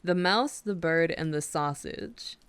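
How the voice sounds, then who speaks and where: Soft-spoken woman, close and dry ASMR. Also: low-pitched, slow, clear, resonant tone, no echo. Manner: soft-spoken, soothing, gently teasing. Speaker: ASMR roleplay performer playing a girlfriend. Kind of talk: intimate ASMR bedtime roleplay and story reading. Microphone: close microphone, dry sound.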